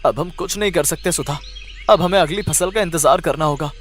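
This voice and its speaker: A man's voice: a little high, brisk, faint music behind, natural voice. A man voicing a good-humoured, affectionate village farmer and hawker in a cartoon story, coaxing and reassuring. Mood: dejected.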